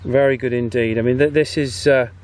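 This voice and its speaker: A man hosting a casual video outdoors, chatting in a halting way and checking in often as he tests gear. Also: medium pitch, steady tone, a little mellow. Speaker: host. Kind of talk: casual outdoor video commentary and walkie-talkie testing. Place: outdoors on a path. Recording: outdoor background noise, faint.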